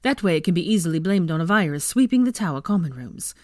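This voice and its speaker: A woman's voice: on the low side, expressive, fast talker, dry recording, clean studio sound, close to an everyday voice. Woman as a wry, long-suffering teenage boy. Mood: scheming.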